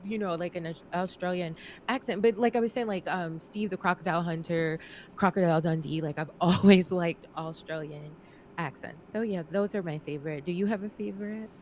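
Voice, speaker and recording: A woman's voice: lowish voice, brisk, slight background noise. A young adult woman co-hosting a casual podcast chat, talking freely and laughing often. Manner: chatty, lively, laughs often. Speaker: podcast co-host.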